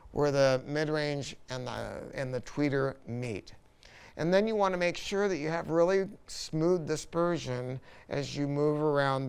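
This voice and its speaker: A man's voice: a little high, gravelly, clean tone. A man talking casually and enthusiastically as he presents a product review, informal and full of opinions.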